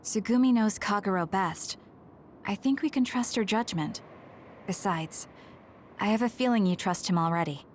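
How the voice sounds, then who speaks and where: A woman's voice: mid-range voice, normal speed, a little hiss or hum, natural voice. A woman voicing a composed, coolly loyal young female fighter in an English video game dub, speaking directly. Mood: calm, firm.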